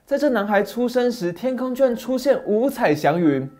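A middle-aged man narrating a fairy tale as a channel host, with a dramatic, animated manner, on a lapel mic in a small dim study. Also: very high-pitched, moderate pace. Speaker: storyteller host.

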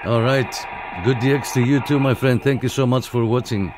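A man's voice: medium pitch, fairly even intonation, moderate pace. Man, CB radio operator, friendly, repetitive phrasing, casual chat, radio noise behind. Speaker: CB radio operator and video maker. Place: indoor room at a radio desk.

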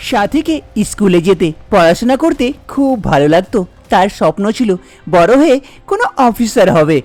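A woman's voice: medium pitch, sing-song, moderate pace, darkish tone, soft background music. A woman narrating a scripted cartoon folk tale as its storyteller.

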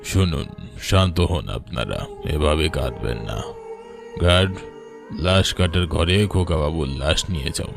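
A man's voice: very deep voice, expressive, a little slow, gravelly, over music, exaggerated voice. A man voicing a hospital doctor in a cartoon, firm, formal and matter-of-fact. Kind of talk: cartoon voice acting.

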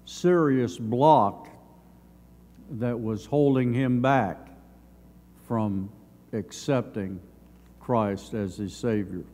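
An older man in his seventies giving a Sunday school Bible lesson, reading from notes in measured, halting short phrases. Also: mid-range voice, dark tone. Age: older, around 70s. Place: church sanctuary, at a lectern. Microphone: gooseneck lectern microphone. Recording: long hall reverb.

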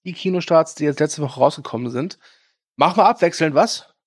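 A man's voice: a little high, brisk, breathy edge, no echo, clean studio sound. A man co-hosting a casual film news podcast, talking with frequent hesitations and filler sounds. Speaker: podcast co-host.

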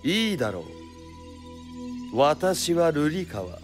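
A man playing a menacing swordsman of about thirty in a radio drama, formal, deliberate and disdainful. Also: on the high side, sing-song, over music. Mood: cold menace. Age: 30s. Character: cold, menacing masked swordsman around thirty.

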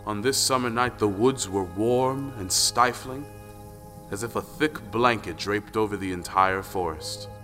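A man's voice: on the low side, unhurried, pushed and bright. A man narrating a folktale audio play as a dramatic storyteller. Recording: long reverb, music underneath.

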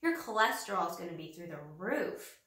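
A woman's voice: lowish voice, big pitch swings, fairly bright tone. A woman in her thirties, a little nasal from a cold, talking earnestly and hesitantly to the camera from a small home office. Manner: earnest, slow and hesitant with many fillers. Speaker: vlogger. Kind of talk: casual talk to camera. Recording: roomy, slightly distant camera audio.

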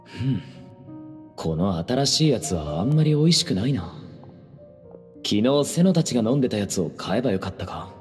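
A man voicing an expressive, earnest, slightly fussy young man in an anime game. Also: fairly low pitch, normal speed, pauses often, soft background music. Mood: casual, grumbling.

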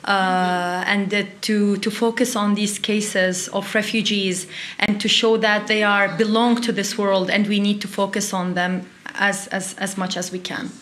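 A woman in her twenties with a Middle Eastern accent, giving earnest, slightly halting answers as an interview guest. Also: mid-range voice, level tone, fairly bright tone. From Iraq, later Syria and Turkey. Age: 20s. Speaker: interview guest, refugee youth delegate. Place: small indoor interview set. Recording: roomy, echoey sound.

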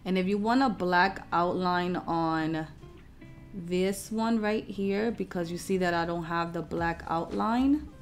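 A woman hosting a craft software tutorial, explaining each step in an informal, casual way. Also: lowish voice, slow, soft background music.

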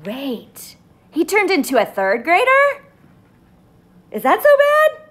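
Woman, thirties, teacher-like, playful storybook asides, lively pitch, unhurried pace. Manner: expressive, playful, addressing children directly. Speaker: teacher reading aloud to pupils. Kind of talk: live children's storybook read-aloud with chatty asides. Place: living room at home, indoors.

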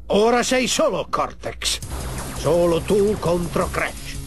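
A man voicing a sarcastic, excitable magic mask guardian in a game cartoon, mocking and theatrical. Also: high-pitched, slow, over music. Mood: resolute. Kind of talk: animated video game cutscene acting, Italian dub.

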